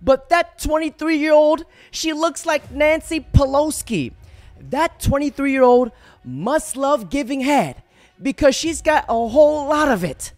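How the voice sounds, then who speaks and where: A man's voice: very high voice, lively intonation, a little slow, no room sound. A man doing crude, sarcastic commentary over viral clips, delivering punchlines from a home studio on a headset mic. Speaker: reaction commentator.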